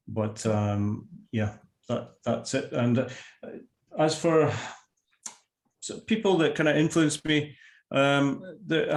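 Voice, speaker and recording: A Scottish man in his sixties chats as a guest over a video call, his middle-pitched voice ringing in a roomy, echoey space. He talks in an unhurried, self-deprecating way, often restarting his sentences. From Scotland. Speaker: guest artist. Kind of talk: casual interview chat.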